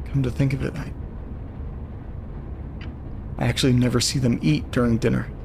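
A man's voice: medium pitch, flat delivery, moderate pace, vocal fry, background noise, lightly acted. An actor playing an uneasy, lonely man reading eerie letters aloud in an audio drama monologue. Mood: bewildered, troubled. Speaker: narrator.

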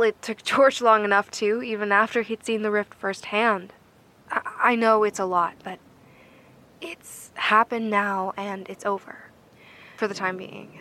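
A woman's voice: medium pitch, fairly even intonation, a little slow, pushed and bright. A woman playing a wry, pragmatic field investigator in a naturalistic audio drama, speaking informally. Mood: weary, consoling.

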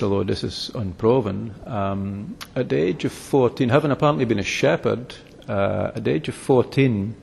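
A man, a philosophy lecturer, explaining history at length in an interview, halting often to restart and correct himself. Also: lowish voice, vocal fry, a little mellow, husky. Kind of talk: explanatory academic interview answers. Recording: long reverb, echoey room.

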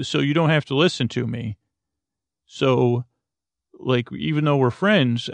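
A man hosting a sleep podcast, talking in a creaky, meandering way with lots of halting restarts and fillers, easing listeners toward sleep. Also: medium pitch, no room sound. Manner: drowsy, soothing delivery. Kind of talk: rambling late-night monologue.

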